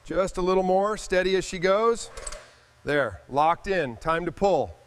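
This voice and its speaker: A man's voice: sits high, measured pace, smooth. A man giving hands-on commentary on restoration work in short, casual phrases. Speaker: restoration video narrator.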